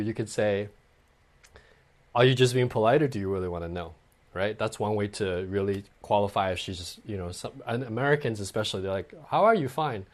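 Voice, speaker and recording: A man giving dating advice in a conversational way, often acting out sample lines and checking in with "okay" and "right". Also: mid-range voice. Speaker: dating coach guest.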